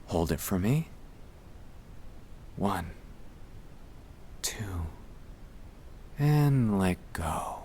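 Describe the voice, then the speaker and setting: Man, gentle ASMR guided breathing, soothing, half-whispered, unhurried long pauses, faint rumbly noise. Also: lively intonation. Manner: soft-spoken, soothing, often dropping into whispers. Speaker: ASMR creator guiding breathing exercises.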